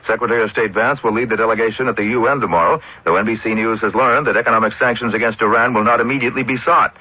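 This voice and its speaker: A fast-talking American man reads a network news bulletin. His voice is slightly rough and husky, and the sound is the muffled, limited band of an old radio broadcast. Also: lowish voice, steady tone. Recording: dull vintage AM radio broadcast.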